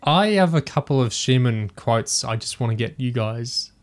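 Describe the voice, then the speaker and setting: A man's voice: medium pitch, expressive, normal speed. A man talking casually as a panellist on a discussion podcast.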